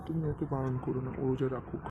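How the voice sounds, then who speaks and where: A man voicing a gentle, devout father in cartoon dialogue, with some noise in the recording. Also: mid-range voice, fairly even intonation, quick pace, phone-quality sound, lightly acted. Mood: calmly firm. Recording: faint background noise.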